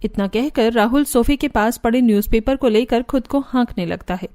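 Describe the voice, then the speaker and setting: A woman's voice: on the high side, moderate pace, darkish tone, dry recording, clean studio sound. A woman giving scripted narration for a cartoon family story.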